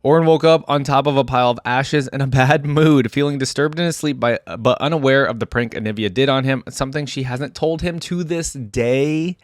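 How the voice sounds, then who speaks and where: A man's voice: medium pitch, normal speed, hardly any pauses, no room sound, studio quality. A man hosting a reaction video, casually commenting and reading lore aloud with informal, chatty asides. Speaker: video host, reaction content creator.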